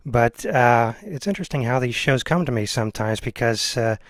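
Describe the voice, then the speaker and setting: A man's voice: mid-range voice, expressive, normal speed, no echo. An American man around forty hosting a casual interview, speaking informally and a bit hesitantly. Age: 30s or 40s. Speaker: podcast host, film teacher.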